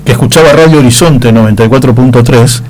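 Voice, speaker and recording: A man's voice: medium pitch, fast talker, a little mellow, soft background music, low-quality recording. A man with an Argentine accent and a nasal voice, chatting casually on air as a radio host, courteous and often repeating his phrases.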